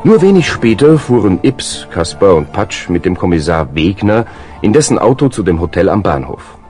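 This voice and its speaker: Deep, lively male story narrator. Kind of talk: scripted children's story narration.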